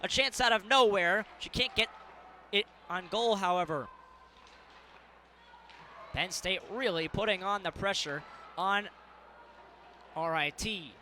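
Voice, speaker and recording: Casting: young male sports broadcaster, early 20s, high voice, some background noise. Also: slow, pauses often, pushed and bright. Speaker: sports play-by-play broadcaster and reporter. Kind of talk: hockey play-by-play commentary and scripted sports news narration.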